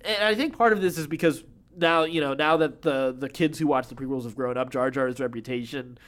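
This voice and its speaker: A man's voice: medium pitch, a little fast, a bit bright. A man co-hosting a podcast, casually going over pop culture news and opinions. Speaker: podcast co-host.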